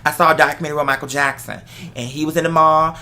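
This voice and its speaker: An American man hosting a show, chatting casually in an animated, emphatic way and repeating points to drive them home. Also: on the high side, fast. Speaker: show host. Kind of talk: casual conversational talk.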